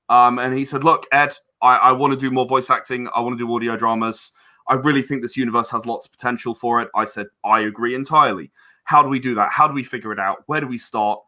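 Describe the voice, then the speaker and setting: A man with a bright, mid-pitched voice talks as the guest in a casual interview. He is earnest about his creative work and restarts phrases haltingly as he thinks them through. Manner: hesitant, halting, repeats words. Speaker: interview guest, game and audio drama creator.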